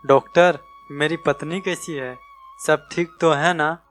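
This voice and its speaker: A man's voice: fairly high pitch, fairly even intonation, unhurried, fairly bright tone, faint music behind. A man playing a tired, affectionate, burdened father and husband in a storybook tale, weary and tender. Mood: anxious.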